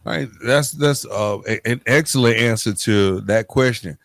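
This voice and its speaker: A man hosting an interview podcast, speaking in a halting way as he searches for words. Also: mid-range voice, dry recording, studio quality. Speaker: podcast host. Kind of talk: hosting an interview podcast, wrapping up with calls to action.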